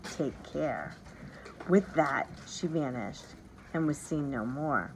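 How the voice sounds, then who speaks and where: A woman narrating a storybook aloud in an expressive, dramatic and deliberate way. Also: sits low, a little hiss or hum, low-quality recording. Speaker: teacher reading a storybook aloud.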